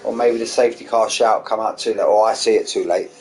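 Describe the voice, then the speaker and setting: Hoarse man calling a sim race. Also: on the low side. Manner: quick excitable play-by-play, chatty asides to viewers. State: losing his voice, hoarse. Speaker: sim racing league commentator. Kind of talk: live race commentary streamed. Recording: noisy streamed audio.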